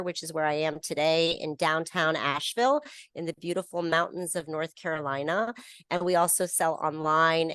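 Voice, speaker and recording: An American woman talks over a video call from her shop, telling stories in a relaxed, chatty way. Her voice sits a little low, and the sound is dry and close, with no room echo. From United States. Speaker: brand founder, guest. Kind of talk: casual video interview. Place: her retail store.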